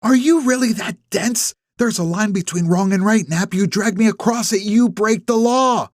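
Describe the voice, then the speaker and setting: A man voicing an upset middle-aged woman who scolds a friend in a tense, breathy voice. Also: sits high, lively intonation, fairly quick, no room sound, studio quality, voice pushed up. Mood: angry.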